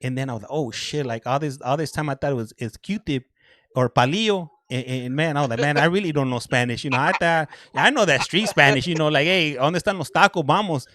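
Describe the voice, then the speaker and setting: A man's voice: on the high side, a little fast, pushed and bright, no room sound, clean studio sound. A Mexican American man chatting casually on a video call, laughing often, stumbling over repeated words and slipping Spanish into his English. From Southern California. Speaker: guest on a prison video call. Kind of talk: casual chat.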